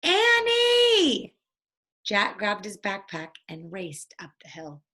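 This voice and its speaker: A woman reading a children's story aloud in a deliberate, expressive storytelling manner. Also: fairly low pitch. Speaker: storybook reader.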